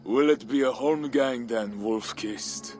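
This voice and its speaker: An actor playing a grief-worn, fatalistic Viking war chief in a video game, speaking gravely and theatrically in archaic phrases. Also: male voice, mid-range voice, slow, darkish tone, soft background music, close to an everyday voice. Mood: bitter, challenging. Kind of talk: dramatic video game acting.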